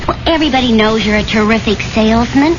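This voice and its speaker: An actress playing a loving, gently bossy wife in a 1970s radio drama, with a coaxing manner. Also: female voice, on the high side, fast talker, background noise, natural voice. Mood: teasing, firm. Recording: dull lo-fi old radio broadcast, music behind.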